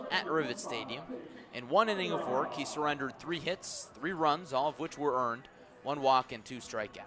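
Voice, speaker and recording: A man calling baseball play-by-play for radio in a live sports commentary style. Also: sharp tone. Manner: measured between pitches, raised and urgent on plays. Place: stadium press area on second deck.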